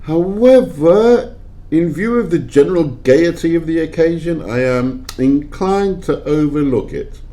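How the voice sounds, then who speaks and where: A man's voice: a little high, expressive, unhurried, mellow. A man playing a proud old miser in an amateur audio play, with a formal, pompous and theatrical way of speaking. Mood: stiffly indulgent.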